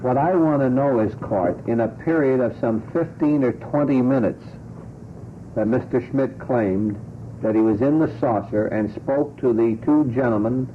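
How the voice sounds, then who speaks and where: A man's voice: mid-range voice, moderate pace. Male radio host, tinny noisy broadcast. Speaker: radio talk show host. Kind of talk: panel talk show discussion.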